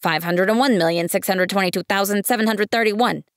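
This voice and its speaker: A woman voicing a pompous, peevish middle-aged businessman who insists on matters of consequence, speaking loudly and firmly. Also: high voice, fairly quick, no echo, clean studio sound.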